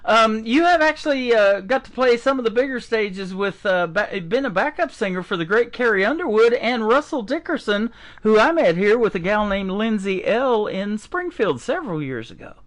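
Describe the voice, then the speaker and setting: A man's voice: sits high, animated, moderate pace, hardly any pauses, a bit bright. An older man hosting a radio interview, friendly and folksy with plenty of filler "uh"s. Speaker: radio host.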